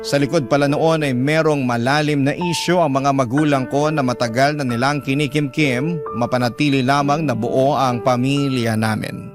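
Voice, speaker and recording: A man's voice: mid-range voice, fairly even intonation, moderate pace. A man reading a letter aloud as dramatic first-person narration for a radio drama. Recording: clear background music under voice. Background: music.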